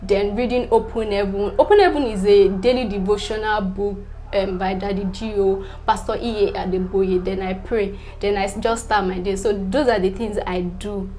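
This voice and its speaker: Young woman, Nigerian accent, Yoruba first language, casual vlog chat, chatty, lots of fillers, mid-pitched. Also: steady tone, normal speed, dark tone, a little hiss or hum. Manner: chatty, filler-heavy, repeats "definitely", laughs between answers. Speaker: vlogger.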